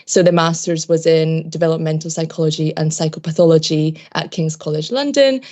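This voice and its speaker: A young woman with a low voice, from Japan and raised in Scotland, with Japanese as her first language. She talks as a podcast guest, reflective and measured, hedging her points as she goes. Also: a little mellow. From Japan, raised in Scotland from age ten. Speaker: podcast guest, PhD student. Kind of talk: casual interview talk.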